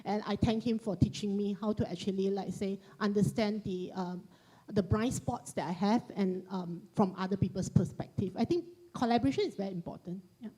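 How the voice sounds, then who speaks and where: A woman speaking as a panelist, reflective and halting, often restarting her sentences. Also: mid-range voice, mellow, slightly rough, low-quality recording. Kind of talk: panel discussion.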